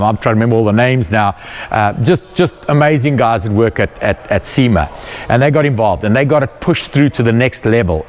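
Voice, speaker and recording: A man's voice: mid-range voice, a little hiss or hum. South African man touring his workshop. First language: not English. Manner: enthusiastic, repeats words while searching for them. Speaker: company founder giving a shop tour. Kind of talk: casual guided tour talk. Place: indoor car workshop.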